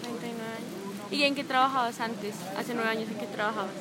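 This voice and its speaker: Woman interviewer, higher voice, probing and rephrasing, outdoors with a crowd behind. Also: steady tone, fairly quick. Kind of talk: street interview. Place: city park outdoors. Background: street crowd.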